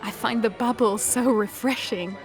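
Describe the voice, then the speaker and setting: A woman's voice: fairly high pitch, steady tone, normal speed, close to an everyday voice. A woman playing a genteel, charmed young society lady in a comic period audio play, polite and dainty. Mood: charmed, coy. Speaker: actor in an audio farce. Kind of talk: period romantic-comedy acting. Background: prominent music.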